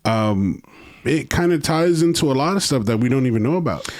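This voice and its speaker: An American man in his thirties talks as a podcast host in a relaxed, conversational style. His voice sits at a middle pitch and slips into quoted asides as he tells things. The recording is close and dry, with little room sound. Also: brisk, dry recording. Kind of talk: casual podcast chat.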